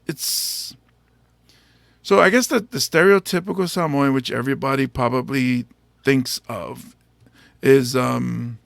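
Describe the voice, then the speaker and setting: A male podcast host chatting casually in an interview, playful and teasing himself, often halting on fillers. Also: a little high, gravelly, dry recording, studio quality. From United States.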